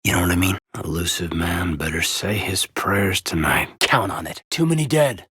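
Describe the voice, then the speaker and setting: A man playing an earnest, loyal and conflicted space marine officer in a video game, with a husky voice and a sincere military manner. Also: deep voice, normal speed, bright, forceful tone, dry recording, studio quality, exaggerated voice. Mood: grim, angry. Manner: sincere, military, clipped and intense. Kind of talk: video game voice acting, dramatic dialogue.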